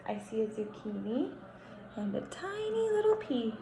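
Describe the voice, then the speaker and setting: A young woman teaching kindergartners in a read-aloud style, instructive and animated, stretching words for emphasis. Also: fairly high pitch, moderate pace. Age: young adult. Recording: roomy echo, faint background noise.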